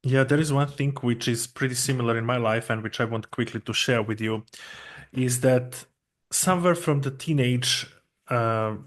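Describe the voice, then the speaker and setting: A man's voice: mid-range voice, normal speed. A man from Serbia, hosting an interview and telling a personal story in an earnest way. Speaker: host. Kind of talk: conversational interview.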